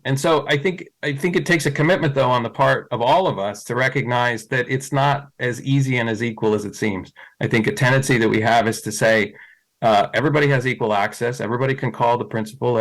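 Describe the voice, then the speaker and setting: A man's voice: mid-range voice, fairly even intonation, fast talker, low-quality recording. A middle-aged man answering questions as a school board candidate, thoughtful, with many fillers and restarts.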